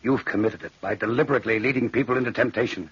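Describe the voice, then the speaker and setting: Husky, stern brother. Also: male voice, medium pitch, racing, fairly bright tone. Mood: grave, disgusted. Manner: grave, accusing. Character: Barton, stern, appalled brother and kiln owner. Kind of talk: 1940s radio acting. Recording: dull old radio recording.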